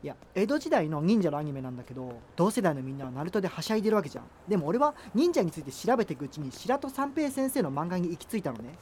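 A contrary, gloomy high school boy in a radio drama. He grumbles in a fairly high, animated, quick voice, with faint ambient noise behind him. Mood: animated, exasperated. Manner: grumbling, casual banter.

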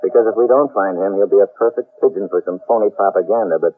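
An actor playing a clipped, wisecracking, take-charge American adventurer in an old-time radio drama. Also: male voice, on the low side, quick pace, a little hiss or hum, lightly acted. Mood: probing, skeptical. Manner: clipped, wisecracking, hard-boiled. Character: brisk, take-charge American adventurer narrating his exploits. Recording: muffled vintage radio recording with music.

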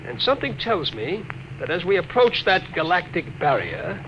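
An animated, wry ship's doctor. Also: male voice, sits high, normal speed. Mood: wry, gloomy. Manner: wry, emphatic, theatrical. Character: wry, worried ship's doctor, male, argumentative. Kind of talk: old-time radio drama acting. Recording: noisy vintage radio drama recording.

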